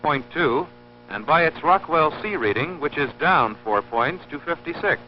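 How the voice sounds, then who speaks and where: A man narrating an instructional film in a formal, scripted, measured and precise teaching manner. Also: on the high side, lively intonation, a little hiss or hum. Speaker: narrator of an industrial training film. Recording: dull lo-fi old film soundtrack, humming.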